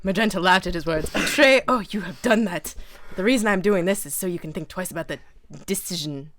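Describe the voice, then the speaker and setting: A woman's voice: lowish voice, a little fast, a bit bright. A woman giving a mock-serious dramatic reading of fan fiction, theatrical and stumbling over words now and then.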